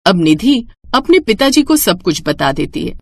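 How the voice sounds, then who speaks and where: A woman narrating a scripted moral story for a cartoon in an expressive storytelling way. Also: medium pitch, normal speed, dry recording.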